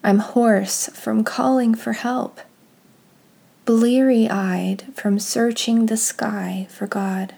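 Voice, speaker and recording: Very slow, hushed, husky-voiced woman. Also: fairly high pitch, steady tone. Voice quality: soft, breathy, husky. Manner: hushed, unhurried, contemplative. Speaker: guided prayer leader. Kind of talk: slow meditative scripture reading and guided prayer.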